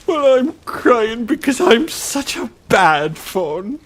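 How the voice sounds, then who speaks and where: An actor playing a timid, guilt-ridden fawn in a radio drama, faltering and plaintive. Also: male voice, extremely high pitch, big pitch swings, slow pace, a bit bright, heavily acted. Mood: sobbing, wretched. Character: tearful, guilt-ridden male fawn, timid and self-reproaching.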